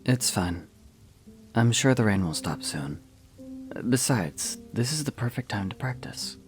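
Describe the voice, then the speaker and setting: A man playing a calm, laid-back young knight in a fantasy audio drama, reserved and quietly confident. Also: medium pitch, measured pace, soft background music, close to an everyday voice. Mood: calm, unbothered. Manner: reserved, easygoing, brief replies.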